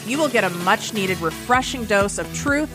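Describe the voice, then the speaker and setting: A woman hosting her own podcast, talking frankly and with self-deprecating humor in an energetic solo monologue. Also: medium pitch, normal speed, over music. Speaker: podcast host.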